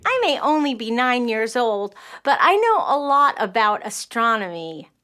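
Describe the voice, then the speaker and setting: A woman's voice: a little high, a little slow, clear, resonant tone, heavily acted. A woman voicing an eager, brainy, curious nine-year-old girl in a children's audio play. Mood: curious, earnest. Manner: excitable, stammering when startled.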